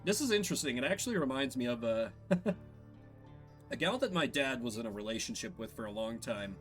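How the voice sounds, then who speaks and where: A man reads a fantasy game's narration aloud as he streams it. His intonation is lively and storybook-like, dropping into casual asides. Soft music sits behind his voice. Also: medium pitch, normal speed. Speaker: streamer playing and voicing a story game.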